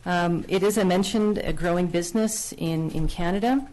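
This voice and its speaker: A woman's voice: on the low side, moderate pace, a little mellow, low-quality recording. A woman presenting her business plan at a town council public hearing, speaking earnestly into a podium microphone with frequent fillers and restarts. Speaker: business applicant. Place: council chamber.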